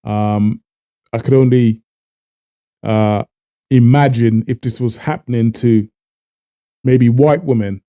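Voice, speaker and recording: A man from Trinidad, talking earnestly to camera and halting often with fillers as a vlogger. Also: mid-range voice, steady tone, mellow, smooth. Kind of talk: casual talk to camera.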